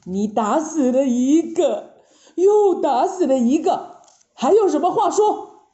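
Storybook monk master, read by a woman: stern, fretful, scolding, in a high voice with dulled sound. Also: moderate pace, vocal fry, mellow. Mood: angry. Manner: scolding, exclaiming. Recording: roomy, dull lo-fi sound.

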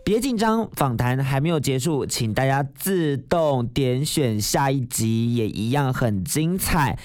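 A man's voice: medium pitch, animated, a little slow, darkish tone, soft background music. A man with a Taiwanese Mandarin accent hosting a casual show in a playful, teasing way. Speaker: podcast and radio host.

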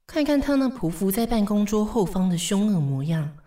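A woman's voice: medium pitch, very animated, moderate pace, mellow, natural voice. Actress for sardonic, long-suffering woman secretary, radio drama, mid-range voice, dry and commanding delivery. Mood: exasperated, sardonic. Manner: dry, bossy, world-weary. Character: brisk, exasperated, sardonic female office secretary.

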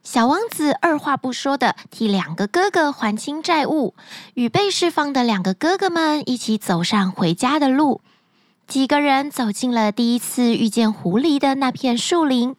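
A woman narrating a fairy tale as a bedtime story, gently and expressively, close to the mic. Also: high-pitched, lively intonation, moderate pace, dry recording.

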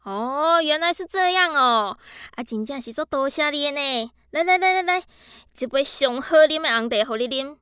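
A woman's voice: sits high, animated, moderate pace, pushed and bright, dry recording, clean studio sound. An actress playing a chatty, effusive middle-aged mother in a radio drama, with a Taiwanese Mandarin accent mixed with Hokkien. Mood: grateful, hospitable. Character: chatty, friendly neighborhood mother asking a favor.

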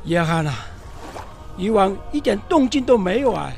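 A man's voice: high voice, big pitch swings, normal speed, gravelly, a little mellow, soft background music, exaggerated voice. An actor playing a plain-spoken, fretful old fisherman in a biblical radio drama, with a theatrical, plaintive delivery. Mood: discouraged.

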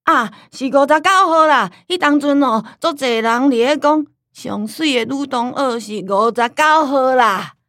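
A grumbling, forgetful old grandmother in a radio play. Her voice sits fairly high and teases and scolds in drawn-out bursts. The recording is close and dry, with no room around it. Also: fairly bright tone, no echo. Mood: reminiscing. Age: older. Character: teasing old grandmother with fading memory. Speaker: voice actor. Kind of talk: radio drama acting.

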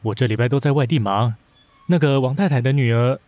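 A man's voice: medium pitch, brisk, a little mellow, lightly acted. An actor playing an aloof, curt male boss of about thirty in a modern comedy radio drama. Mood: exasperated. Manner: curt, cool, exasperated.

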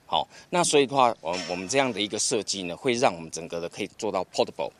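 A man's voice: low-pitched, lively intonation, very fast, fairly bright tone, a little hiss or hum. A man with a Taiwanese Mandarin accent, explaining off the cuff in an interview. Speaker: business partner interviewee. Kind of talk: spontaneous explanation.

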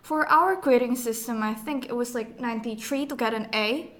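Young indonesian woman on a podcast, highish voice, chill, says like a lot. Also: moderate pace. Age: young adult. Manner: relaxed, uses lots of "like". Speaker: student co-host. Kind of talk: casual podcast chat.

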